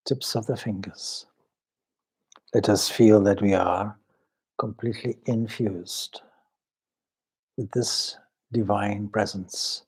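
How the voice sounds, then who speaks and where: A man leading a guided meditation as a swami, speaking solemnly and measuredly in short phrases with long pauses. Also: fairly low pitch, a little mellow, breathy edge, low-quality recording. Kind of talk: spiritual talk and guided meditation.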